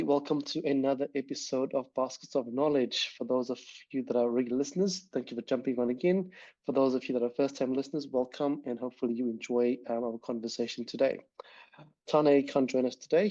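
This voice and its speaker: Male podcast host, warm and low-key, casual rambling delivery, muffled lo-fi audio. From immigrant to New Zealand. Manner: relaxed, rambling with frequent ums and restarts. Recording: dull lo-fi audio.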